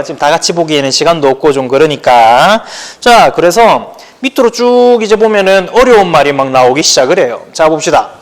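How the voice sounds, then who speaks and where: A man with a Daegu accent, teaching a high school history class online in a relaxed, chatty way. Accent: Gyeongsang (Daegu) Korean. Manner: chatty, informal, talks to students directly. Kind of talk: casual online lecture.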